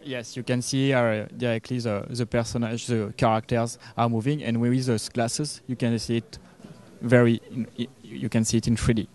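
Man, halting English. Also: mid-range voice, level tone, darkish tone. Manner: halting non-native English. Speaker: company representative giving a product demo. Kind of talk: trade show interview. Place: trade show booth indoors. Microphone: handheld interview microphone.

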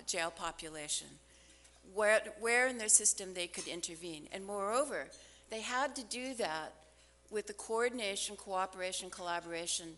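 An older woman gives a prepared keynote speech at a podium, deliberate and stressing key phrases. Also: medium pitch, a bit bright. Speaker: foundation representative giving a keynote. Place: large hotel ballroom at a conference, on stage. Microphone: podium gooseneck microphone. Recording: hall reverb from conference ballroom PA.

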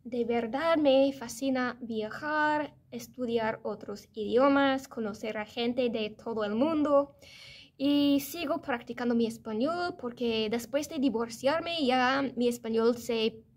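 American woman, 30s, higher voice, casual vlog to camera from her office desk, self-correcting. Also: takes it slowly. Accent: American English. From United States. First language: English. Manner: chatty, self-correcting, animated hand gestures. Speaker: vlogger. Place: office or classroom, indoors, medium room.

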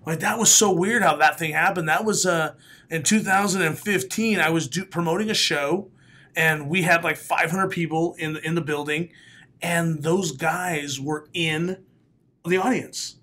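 Raspy, animated comedian on a podcast. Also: male voice, on the high side, normal speed. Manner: animated storyteller, laughs often, bursts into loud announcer calls. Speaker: comedian guest. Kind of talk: casual podcast interview. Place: small studio room. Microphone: studio mic, headphones.

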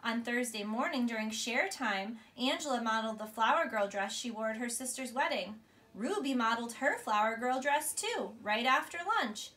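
Female storybook reader, thirties, high bright voice, expressive delivery, recorded in a small bedroom. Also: moderate pace. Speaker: teacher reading a bedtime story. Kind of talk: storybook read-aloud to a child. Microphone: camera a few feet away.

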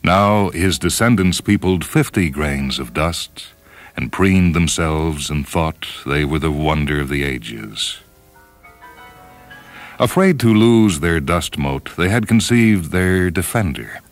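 A man with an extremely deep voice narrates a story as a radio host. His delivery is measured and solemn, declaimed like grand storytelling, with music playing behind him. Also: lively intonation. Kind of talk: dramatic scripted storytelling narration. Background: orchestral and piano music.

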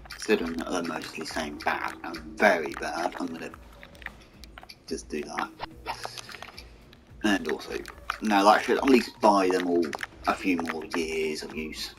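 A man with a hoarse, croaky voice, mumbling casual commentary over a strategy game and talking to its vehicles. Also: low voice, a little slow, long pauses, noisy background. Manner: mumbling, talks to the game's vehicles. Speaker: gaming channel host. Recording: game music behind voice.

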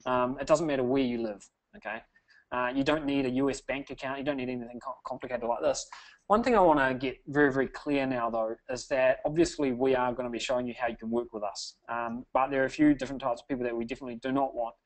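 A man's voice: medium pitch, fairly even intonation, fast talker, low-quality recording. A man hosting a sales webinar, talking in an upbeat salesy way and often tagging "okay?". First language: English. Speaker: webinar host.

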